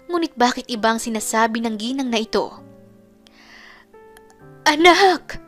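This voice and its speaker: A woman's voice: fairly high pitch, steady tone, fast, fairly bright tone. A woman narrating a scripted romance story in a dramatic storytelling style. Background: background music.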